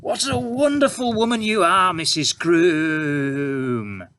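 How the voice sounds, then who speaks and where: A man reading a storybook aloud and voicing a sly, flattering male journalist. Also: on the high side, takes it slowly, voice pushed up.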